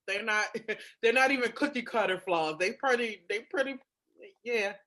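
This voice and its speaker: A woman's voice: on the high side, normal speed, a bit bright. A woman co-hosting a podcast in candid casual chat, with an informal, emphatic, colloquial way of talking. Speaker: podcast co-host.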